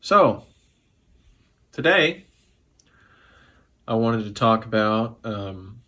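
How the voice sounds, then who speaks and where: Hoarse, tipsy man rambling at home. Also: fairly low pitch, big pitch swings, extremely slow, pushed and bright. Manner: profane, loose and chatty, a bit tipsy. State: sick with a sore throat, slightly hoarse. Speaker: music vlogger. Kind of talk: casual rambling talk to camera. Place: living room at home.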